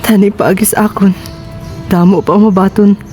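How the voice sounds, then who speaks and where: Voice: medium pitch, little pitch movement, normal speed, darkish tone, soft background music, close to an everyday voice. A performer with a Filipino accent acting a grateful, humble young aspiring model in an amateur radio drama. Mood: grateful, moved.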